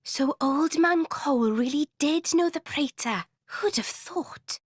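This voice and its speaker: A young female video game companion. She is high-pitched and sassy, with blunt, teasing scorn. The sound is close and dry. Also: lively intonation, slow, dry recording. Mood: dismissive, teasing. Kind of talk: video game cutscene acting.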